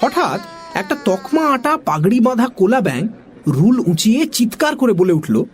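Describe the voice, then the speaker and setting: A woman narrating a children's story in an expressive, dramatic storytelling style. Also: a little high, normal speed, slight background noise.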